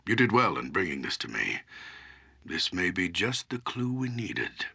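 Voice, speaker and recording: An actor playing an elderly, humble and wise archdruid in a fantasy game, speaking gravely in a measured, formal, theatrical way with a gravelly, husky voice. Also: male voice, sits low, big pitch swings, pushed and bright, heavily acted. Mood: pondering, grave.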